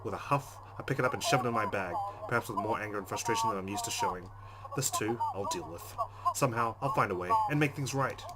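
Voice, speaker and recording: A man's voice: on the low side, big pitch swings, fast, very noisy. A man hosting a let's play, reading visual novel narration aloud in a dramatic way. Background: game music.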